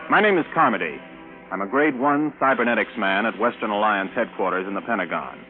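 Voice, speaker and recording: Man playing an ex-rocket pilot, lowish voice, matter-of-fact, vintage radio drama, tinny sound, music behind. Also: animated, fairly quick, natural voice. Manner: plainspoken, conversational first-person storytelling. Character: matter-of-fact ex-rocket pilot turned computer operator, narrating. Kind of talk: 1950s radio drama acting.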